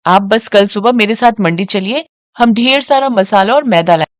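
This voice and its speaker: A woman's voice: mid-range voice, normal speed, dry recording, studio quality, lightly acted. A woman voicing a clever young wife in a moral story, reassuring and practical. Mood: reassuring, confident.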